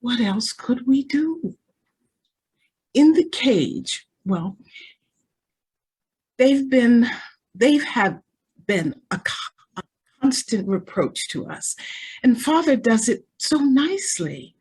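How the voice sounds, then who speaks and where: A woman's voice: a little high, big pitch swings, slow, pauses often, darkish tone, breathy edge, heavily acted. A woman playing a spoiled, naively callous young heiress in an old-time radio style drama, girlish and breezy in manner. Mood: breezily callous. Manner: breezy, girlish, petulant when crossed.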